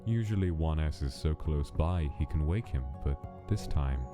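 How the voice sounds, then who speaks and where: A man voicing an aloof skeleton scientist in a fan comic dub, speaking in a measured, analytical way. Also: extremely low pitch, animated, over loud music. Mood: detached.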